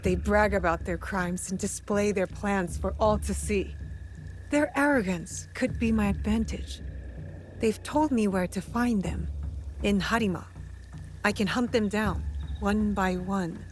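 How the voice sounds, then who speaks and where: A woman playing a resolute, guarded young female warrior in a video game, speaking in a measured, serious way. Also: medium pitch, soft background music, lightly acted. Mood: grim, thoughtful.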